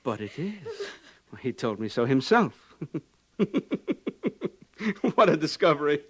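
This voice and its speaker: An actor playing a smug, excitable civilized man in 1950s radio drama style, politely hesitant but gloating. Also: on the high side, takes it slowly, breathy edge, full character voice. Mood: gloating glee.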